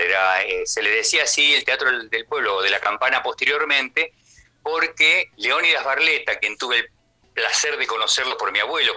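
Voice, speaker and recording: A man's voice: mid-range voice, pushed and bright, rough recording. An older man with a Rioplatense Argentine accent, speaking as a theatre actor on the radio in a chatty, rambling, conversational way with frequent question tags.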